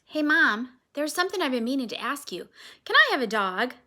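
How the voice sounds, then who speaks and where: A woman's voice: high voice, big pitch swings, normal speed, voice pushed up, heavily acted. A woman voicing an eager, cheerful young boy in a read-aloud storybook. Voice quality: bright and eager.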